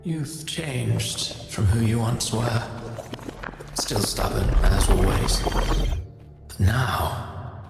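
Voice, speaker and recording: An ominous, godlike villain from a video game. His low voice moves very slowly and deliberately through grand, taunting pronouncements of doom. Music swells clearly behind him. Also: expressive, full character voice. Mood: solemn, menacing. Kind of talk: theatrical video game monologue.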